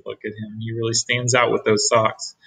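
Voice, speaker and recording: A middle-aged man giving an expressive read-aloud of a children's storybook. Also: on the low side, normal speed, gravelly, slightly rough, low-quality recording. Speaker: storybook reader.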